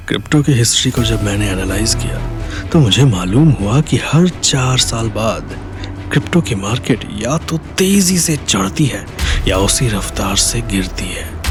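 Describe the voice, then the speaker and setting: Confident young schemer, audio drama. Deliberate, assured delivery, lowish pitch, music clearly underneath. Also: male voice. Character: confident, calculating young male crypto trader with grand plans. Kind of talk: Hindi audio drama acting. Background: background music.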